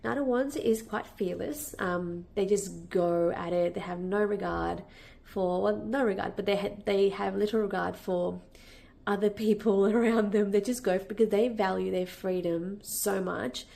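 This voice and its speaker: A woman with a cold, a bit nasal and croaky, giving a casual, reassuring tarot reading full of fillers and restarts. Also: medium pitch, normal speed, dark tone. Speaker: tarot reader.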